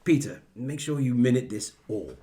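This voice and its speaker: A man's voice: mid-range voice, lively intonation, moderate pace, mellow, lightly acted. A man playing a jovial, patronising British Prime Minister chairing a meeting in a satirical radio play, with a British accent. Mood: smooth, self-satisfied.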